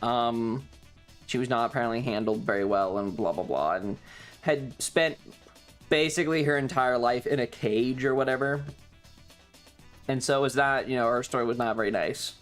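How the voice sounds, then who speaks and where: A man streamer telling a casual, rambling story in a halting, wry way with some swearing. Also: mid-range voice, soft background music. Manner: frequent throat clearing.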